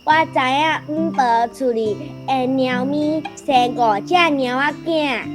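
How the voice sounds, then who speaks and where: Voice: high-pitched, takes it slowly, over music. A performer playing an outspoken, earnest young village child in a radio drama, with a Taiwanese accent. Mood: eager.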